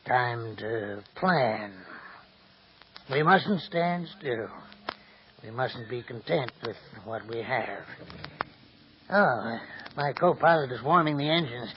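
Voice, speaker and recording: An actor playing a scheming, gloating crime boss in a 1940s radio drama, speaking slowly and chuckling, on a dull old broadcast with faint hiss. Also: male voice, medium pitch, animated. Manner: gloating, chuckling, slow and deliberate.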